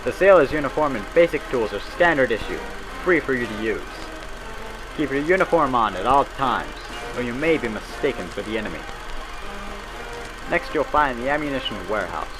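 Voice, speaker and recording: A man reading scripted narration in the style of a 1940s military training film, brisk and instructive with folksy old-time phrasing. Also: medium pitch, lively intonation, music in the background, low-quality recording.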